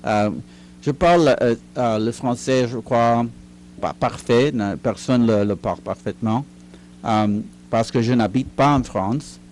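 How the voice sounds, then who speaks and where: An older retired man, a guest in a studio interview, answering slowly with many fillers and restarts. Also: medium pitch, a little mellow, a little hiss or hum. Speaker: guest, retired teacher and writer. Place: recording studio.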